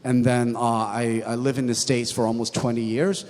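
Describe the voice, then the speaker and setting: A man from Hong Kong talking informally as an investor on a panel, halting, with "like" dropped in often. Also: medium pitch, little pitch movement, a little mellow. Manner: halting, frequent "like". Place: conference hall panel. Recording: echoey hall with long reverb.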